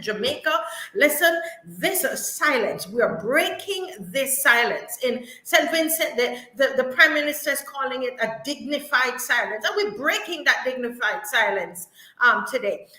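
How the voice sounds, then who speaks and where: A woman's voice: high voice, bright, forceful tone, reverberant, poor audio. A woman hosting a live-streamed talk show, speaking earnestly and greeting viewers directly.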